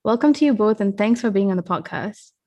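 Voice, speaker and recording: A woman's voice: medium pitch, expressive, brisk, dark tone. A woman hosting a podcast, introducing guests and asking a first question politely. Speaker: podcast host. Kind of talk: scripted interview introduction.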